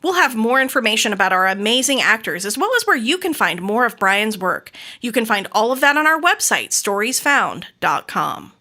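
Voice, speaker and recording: A woman's voice: a little high, sing-song, moderate pace, hardly any pauses, bright, forceful tone. A woman hosting a podcast, reading a scripted outro with credits and announcements. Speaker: podcast host.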